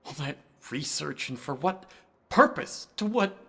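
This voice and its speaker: A man's voice: on the high side, animated, measured pace, gravelly, bright, forceful tone, breathy edge, heavily acted. A man playing a haunted, intense writer of horror stories in a radio drama, speaking in an earnest, florid, articulate way. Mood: bleak, brooding. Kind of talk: theatrical radio drama acting.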